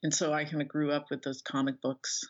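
A woman's voice: deep voice, level tone, brisk, muffled recording. A middle-aged woman speaking casually as an author being interviewed, rambling and restarting her sentences as she goes.

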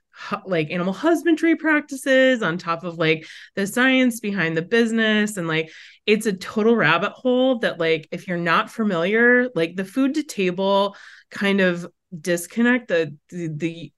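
A woman in a lowish voice. She is bubbly and talkative as a podcast guest, with lots of "like" between her words. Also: fairly bright tone. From Wisconsin, USA. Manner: bubbly, chatty, lots of "like" fillers. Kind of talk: casual interview chat.

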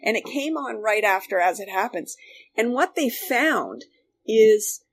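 A woman's voice: a little high, lively intonation, unhurried, slight background noise. A Canadian woman reminiscing casually as a guest, chatty and emphatic, rambling from one thought to the next. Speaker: guest, former radio worker.

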